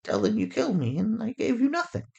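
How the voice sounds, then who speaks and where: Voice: medium pitch, huge pitch swings, fast talker, gravelly, darkish tone, slightly rough, highs cut off, pitched above the performer's own voice, heavily acted. A performer voicing a defiant, pleading young woman held captive in an audio drama, urgent and argumentative. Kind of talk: acted audio fiction.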